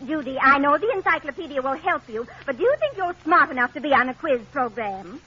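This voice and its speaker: A woman's voice: high-pitched, big pitch swings, a little fast, music in the background. A woman playing a prim, patient aunt in a 1940s radio comedy, speaking properly with an American accent. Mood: doubtful. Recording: muffled old-time radio recording.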